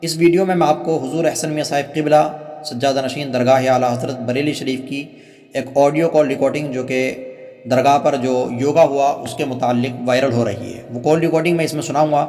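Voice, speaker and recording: A man's voice: medium pitch, fairly even intonation, a little fast, a little mellow. A man giving earnest commentary to viewers as a religious channel host, pleading and repeating himself for emphasis. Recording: long reverberant echo on the voice.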